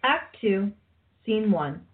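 A woman's voice: mid-range voice, expressive, takes it slowly, phone-quality sound, soft background music. A woman reading scripted scene narration as the narrator of a radio play.

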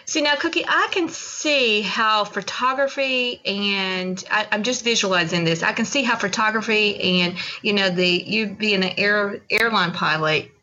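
A woman's voice: mid-range voice, unhurried, few pauses, bright, forceful tone, muffled recording. A woman hosting an interview show, effusive and full of fillers like "you know". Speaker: podcast host.

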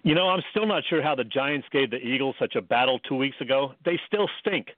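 An American man co-hosting casual sports radio, talking in a measured, explanatory way. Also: medium pitch, expressive, no room sound. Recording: dry, phone-like narrowband radio sound.

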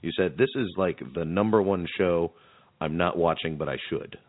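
A man co-hosting a podcast in casual chat, halting and repeating words as he searches for phrasing. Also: low-pitched, dry recording, narrow, tinny audio. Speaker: podcast co-host.

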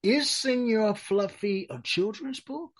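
A radio interviewer asking brief, measured questions and offering steady backchannels. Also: mid-range voice.

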